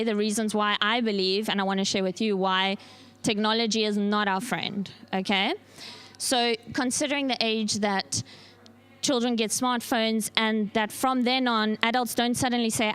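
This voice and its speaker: A woman's voice: mid-range voice, a bit bright, clean tone. A woman giving a church workshop talk, speaking casually and checking in with the listeners as she goes. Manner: casual, often checks in with the audience. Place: church workshop room with a live audience.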